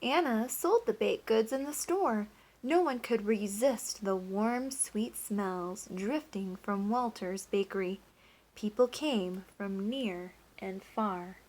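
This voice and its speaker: A woman teacher reading a picture book aloud, pausing to explain and to ask the children questions. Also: mid-range voice, darkish tone, low-quality recording.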